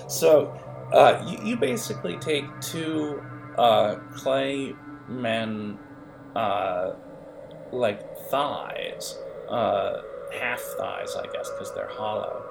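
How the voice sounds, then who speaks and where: A man improvising narration as game master in a halting, digressive manner, with music behind the voice. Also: mid-range voice, lively intonation, creaky.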